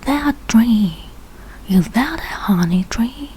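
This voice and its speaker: A woman's voice: on the high side, animated, slow, a little mellow, husky, exaggerated voice, poor audio. A woman voicing a curious little bear cub from a storybook, asking simple, inquisitive questions.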